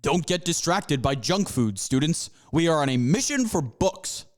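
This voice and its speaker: A man's voice: fairly high pitch, big pitch swings, moderate pace. A man voicing a pompous panther professor who lectures his students in grandiose, stretched-out phrases. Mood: lecturing. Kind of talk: amateur audio drama.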